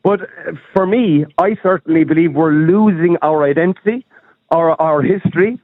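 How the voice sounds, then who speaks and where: Earnest caller on a tinny phone line. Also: male voice, on the high side, dry recording. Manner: earnest, repeating words, halting restarts. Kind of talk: phone-in opinion. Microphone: telephone.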